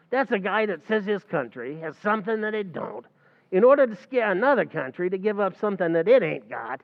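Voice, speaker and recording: A man's voice: high voice, vocal fry, dull audio. A man playing a wisecracking New barman. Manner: wisecracking, mangles grammar. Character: fast-talking scheming male tavern manager. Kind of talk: 1940s radio acting.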